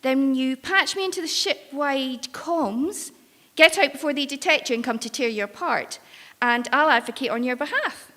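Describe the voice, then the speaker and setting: A woman's voice: high voice, normal speed, sharp tone. A woman playing a world-weary, protective ship's crew member in a live staged drama, wry and reassuring as she coaxes. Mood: urgent. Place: live on stage in an arts venue. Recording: reverberant hall, live stage performance.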